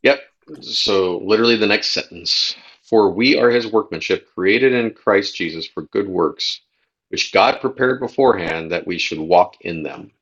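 An American man hosting a religious podcast in a casual, halting way, often repeating words as he goes. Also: low-pitched, a bit bright, highs cut off. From United States. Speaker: podcast host.

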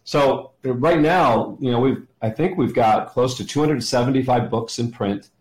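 A middle-aged or older man talking as an interview guest, enthusiastic and halting as he explains. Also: medium pitch, darkish tone. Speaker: podcast guest, ministry leader. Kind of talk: conversational interview answers. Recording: roomy, echoey sound.

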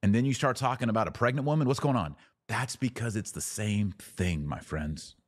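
A man hosting a podcast, giving an emphatic theological teaching talk with rhetorical questions and dramatic pauses. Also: fairly low pitch. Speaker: podcast host.

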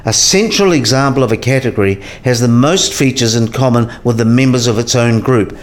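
A man's voice: mid-range voice, natural voice. A man playing a patient professor in a radio play, explaining things in a didactic, precise manner. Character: male professor patiently explaining category theory.

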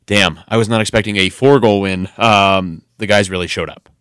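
A man's voice: fairly low pitch, fairly even intonation, normal speed, fairly bright tone, clear, resonant tone, no room sound. A man co-hosting a sports podcast in a radio studio, chatting casually and rambling through lots of ums and restarts. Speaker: podcast co-host.

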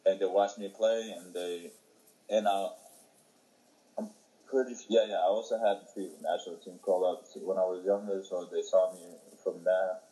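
A young man from Ethiopia giving halting, casual interview answers with many fillers and restarts. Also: low-pitched, steady tone, warm tone, rough recording. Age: young adult. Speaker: interviewee, young soccer player.

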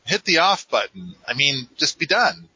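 A man's voice: on the high side, big pitch swings, moderate pace, pushed and bright, husky, dry recording, highs cut off. A man hosting a live podcast in a rambling, casual, self-deprecating way. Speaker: podcast host.

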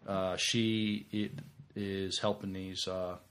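Halting man with a lowish voice. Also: little pitch movement, fairly bright tone, clear, resonant tone. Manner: hesitant, halting, stammering. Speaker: podcast co-host. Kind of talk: casual sci-fi fan chat.

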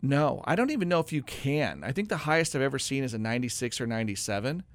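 A man's voice: medium pitch, big pitch swings, fast, no room sound. A man hosting a talk radio show as a financial adviser, explaining things in an informal, folksy, conversational way. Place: radio studio.

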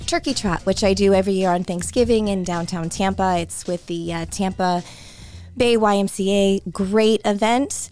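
Hoarse woman hosting a podcast. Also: medium pitch, normal speed, a little mellow, faint music behind. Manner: chatty and conversational, with frequent restarts. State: hoarse, voice coming and going. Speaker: podcast host. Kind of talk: casual solo podcast talk.

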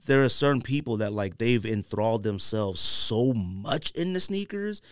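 A man in casual podcast conversation, telling stories in an animated, slangy, conversational way. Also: mid-range voice, moderate pace, clean tone, dry recording, studio quality. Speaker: podcast host. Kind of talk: casual podcast chat about sneakers.

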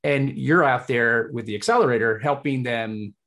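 A man from England hosting a casual interview, with halting phrasing that circles around its point. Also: medium pitch, a bit bright. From born in Hull, Yorkshire, England. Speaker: podcast host.

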